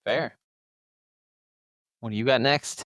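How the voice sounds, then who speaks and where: A man's voice: medium pitch, slow pace, a bit bright, no room sound, studio quality. A man in his thirties co-hosting a podcast in casual conversation. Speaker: podcast co-host. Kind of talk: casual chat.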